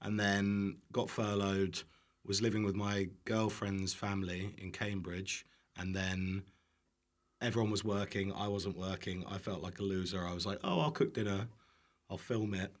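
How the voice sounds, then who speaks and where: A man's voice: low voice, level tone, unhurried. A young man chatting casually as a podcast guest, with a self-deprecating manner. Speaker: podcast guest, online cooking creator. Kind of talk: casual chat.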